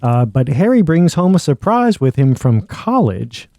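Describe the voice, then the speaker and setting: A man's voice: fairly high pitch, sing-song, a little slow, mellow, a little hiss or hum. A man hosting a podcast, recapping a story in a casual, conversational way. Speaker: podcast host. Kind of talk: casual plot recap narration.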